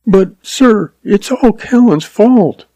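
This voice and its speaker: A man reads as a slight young frontier schoolteacher, a woman with an earnest, pleading way of speaking. The pitch is lifted well up, in storybook style, with a dry, close sound. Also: lively intonation, slow, mellow, no echo. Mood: indignant.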